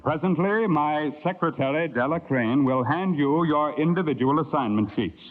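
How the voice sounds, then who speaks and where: A male actor plays a scheming chairman in a vintage radio thriller. He speaks in pompous, formal, measured phrases, pitched a little high for a man. The sound is narrow and muffled, like an old broadcast. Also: animated, close to an everyday voice. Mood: pompous, grave. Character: scheming male chairman of a bogus patriotic society. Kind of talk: 1940s-50s radio drama acting.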